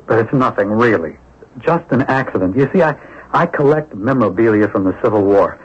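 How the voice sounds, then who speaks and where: Husky, hesitant, eager hobbyist. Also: male voice, fairly low pitch, animated, fairly quick. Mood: flustered, apologetic. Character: apologetic, nervous male Civil War memorabilia collector. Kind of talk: 1970s radio drama acting. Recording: dull lo-fi radio broadcast recording.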